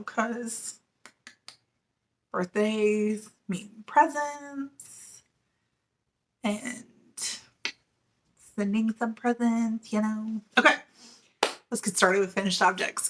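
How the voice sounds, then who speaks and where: A woman's voice: a little high, sharp tone, slight background noise. A woman in her forties hosting a knitting video podcast, talking casually and giggling often between fillers. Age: 40s. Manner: chatty and giggly, with many fillers. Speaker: middle school teacher. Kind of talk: casual chat to camera.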